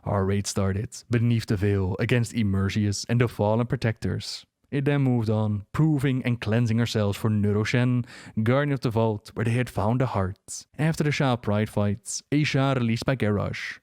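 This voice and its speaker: A man's voice: medium pitch, normal speed, a little mellow, no room sound. A man narrating scripted lore as a dramatic storyteller, close to the mic. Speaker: video narrator.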